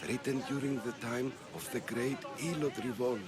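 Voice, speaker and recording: An actor playing a nervous, pious old temple priest in a video game, hesitant and evasive in manner. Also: male voice, medium pitch, steady tone, over a noisy crowd, natural voice. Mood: reverent storytelling. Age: older. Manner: hesitant, halting, secretive. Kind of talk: video game dramatic dialogue.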